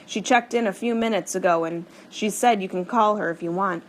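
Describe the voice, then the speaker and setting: A woman's voice: mid-range voice, fairly quick, slight background noise, natural voice. A woman reading an audiobook, voicing a friendly grown man who speaks in an easygoing, reassuring way.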